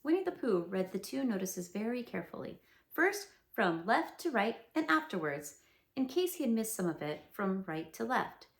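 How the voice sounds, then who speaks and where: A woman reading a children's storybook aloud with an expressive, sing-song delivery. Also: medium pitch, moderate pace. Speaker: read-aloud narrator.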